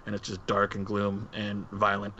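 A man's voice: on the low side, completely flat delivery, moderate pace, pushed and bright. A man co-hosting a podcast in casual discussion, heard through dull, lo-fi audio with faint noise. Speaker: podcast co-host. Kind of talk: casual movie-discussion chat.

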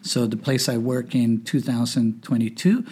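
Middle-aged male guest, slightly husky, wry self-deprecating storyteller. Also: medium pitch, steady tone, normal speed, a little mellow. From Northern Ireland, raised in Hong Kong. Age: 50s. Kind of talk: casual podcast interview.

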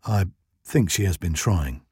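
A man's voice: mid-range voice, a little fast, darkish tone, dry recording, studio quality, natural voice. A man voicing an earnest, polite and wry male police detective in an audio story.

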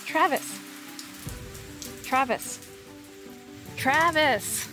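Teenage sweetheart for audio drama young actress, high voice, friendly and teasing, faint music behind. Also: female voice, drawn-out words. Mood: urgent, exasperated.

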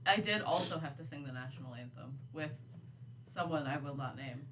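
A woman's voice: low voice, animated, moderate pace, a bit bright, slight background noise. A woman in her twenties co-hosting a podcast in casual chat, informal, emphatic and self-deprecating. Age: 20s. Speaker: podcast co-host.

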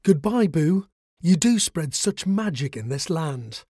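A man playing a tiny croaking glass frog. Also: a little high, sing-song, slow, no echo, clean studio sound, pitched above the performer's own voice, exaggerated voice. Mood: grateful. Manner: croaking, soft and grateful.

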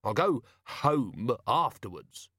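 A man's voice: mid-range voice, very animated, takes it slowly, fairly bright tone, slightly rough, no echo, studio quality, heavily acted. A man voicing a weary, irritable police commander with an exasperated, sardonic manner in a storybook reading. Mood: tired.

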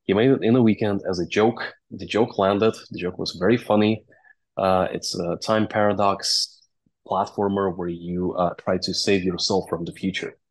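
Low-voiced man. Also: steady tone, normal speed. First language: Russian or Latvian. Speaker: interview guest, game company founder and CEO. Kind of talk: conversational interview storytelling.

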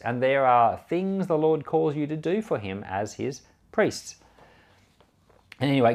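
Expressive male pastor teaching the Bible. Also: medium pitch. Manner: conversational, explanatory, earnest. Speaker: pastor and Bible podcast host. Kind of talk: informal Bible commentary, teaching.

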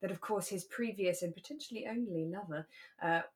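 A woman's voice: on the low side, brisk. A woman co-hosting a casual podcast about a radio drama, retelling scenes in a giggly way and mimicking the quotes. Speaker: podcast co-host.

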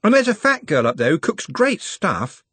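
Man doing a cheeky young lad, lively swooping pitch. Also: moderate pace, breathy edge, no room sound, exaggerated voice. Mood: breezy, coaxing. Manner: breezy, cheerful, casual.

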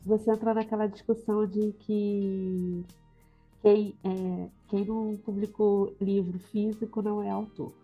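A woman's voice: mid-range voice, flat delivery, measured pace, phone-quality sound, soft background music. A Brazilian woman on a podcast panel, chatting casually with the group.

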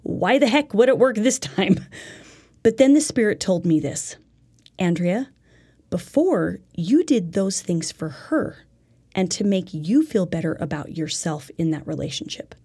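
A woman's voice: on the low side, animated, unhurried, warm tone, slightly rough, dry recording. A woman telling a personal faith story as part of a religious lesson, in an earnest, reflective way. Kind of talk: personal testimony told as a teaching story.

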